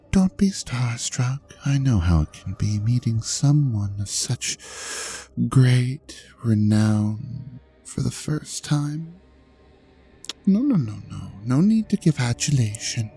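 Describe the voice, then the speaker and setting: An ancient dragon god of an audio drama, acted out by a man, vain, suave and quietly menacing. He drawls at a very unhurried pace with a gravelly creak, slipping into breathy murmurs. Faint music plays behind his theatrical, mock-courteous speech. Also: mid-range voice, lively intonation, heavily acted. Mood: gloating. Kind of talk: scripted audio drama monologue addressed to the listener.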